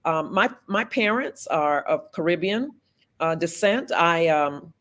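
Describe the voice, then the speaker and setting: A woman in her fifties from South Florida, a political candidate answering interview questions in an earnest, deliberate way with measured pauses. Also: on the low side, animated, fairly bright tone. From South Florida, USA.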